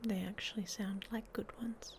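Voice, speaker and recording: A woman playing a hot-tempered, protective she-wolf in an intimate ASMR roleplay, with a husky, breathy voice and a blunt, sardonic manner. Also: mid-range voice, steady tone, moderate pace, a little hiss or hum, close to an everyday voice. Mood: gruff, reassuring. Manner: sardonic, blunt, speaking straight to the listener.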